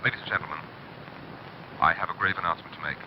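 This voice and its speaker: Actor as a solemn 1930s announcer, noisy broadcast. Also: male voice, low-pitched, fairly even intonation, natural voice. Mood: grave. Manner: formal, grave, measured emphasis. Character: solemn radio news announcer. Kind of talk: 1930s radio drama acting. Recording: dull old broadcast, clear noise.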